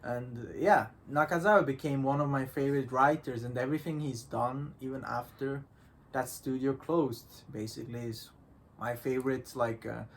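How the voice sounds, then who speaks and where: A man's voice: mid-range voice, steady tone, normal speed, gravelly, a little hiss or hum. A man in a casual discussion, talking in a hesitant, rambling way with non-native English phrasing. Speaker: visual novel reviewer in a discussion. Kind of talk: casual chat.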